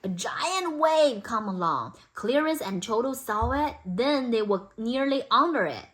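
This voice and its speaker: A woman reading a storybook aloud expressively for toddlers, in simple deliberate phrases. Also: a little high, expressive, fairly bright tone. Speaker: storybook reader.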